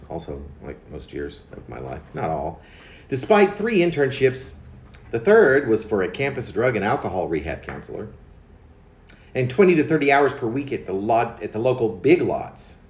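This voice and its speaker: Low-pitched, expressive American man in his fifties. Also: roomy sound. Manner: wry, digressive, repeating words. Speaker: poet reading own work. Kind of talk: rambling autobiographical spoken poem.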